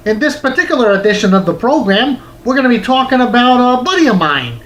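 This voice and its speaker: A man hosting a retro game review, reading a wordy script in a measured, presenter-like way. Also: very high-pitched, big pitch swings, fairly bright tone, rough recording. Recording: music bed under the voice. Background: music.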